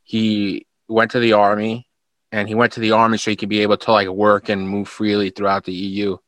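A man's voice: fairly low pitch, completely flat delivery, fairly quick, fairly bright tone, no room sound. A young man with a New York accent, chatting casually, swearing freely and saying "like" and "bro" a lot. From United States. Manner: laid-back, swears freely, says "like" and "bro" often. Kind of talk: casual chat.

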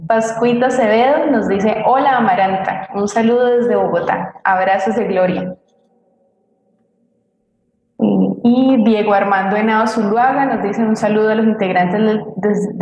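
A woman's voice: medium pitch, darkish tone. Female co-host, reads audience messages, strong reverberation with faint noise. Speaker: co-host reading audience greetings. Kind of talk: reading out live audience messages. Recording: very echoey, noisy booth audio.

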